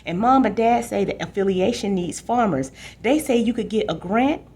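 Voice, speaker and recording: A woman's voice: medium pitch, animated, normal speed, darkish tone, husky, voice pushed up. A woman reading a storybook as a small nine-year-old boy, a pleading, sulky and teasing little brother. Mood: pushing.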